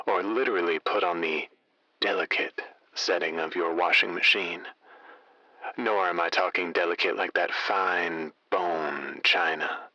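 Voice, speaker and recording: Conspiracy radio host, deep, intense, wordy, theatrical, suggestive, dry close mic. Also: male voice, creaky, bright, forceful tone, no room sound, muffled recording, full character voice. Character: smooth, suggestive male late-night conspiracy radio host. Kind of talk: late-night radio monologue in an audio drama.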